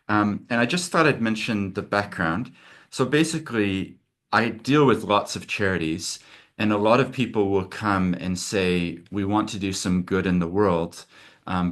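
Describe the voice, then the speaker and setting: A man's voice: sits low, fairly bright tone. A middle-aged man from America, a lawyer hosting a webinar over a video call, talking in an earnest, open, conversational way.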